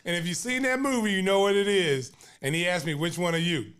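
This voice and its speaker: An older man from Oklahoma hosts a sports podcast and talks loosely, piling on fillers and restarts as he spins stories. He laughs his way through anecdotes and sounds animated and at ease. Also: sits high, a little fast, clean tone. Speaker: podcast host, former pro basketball player. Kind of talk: casual sports talk and storytelling.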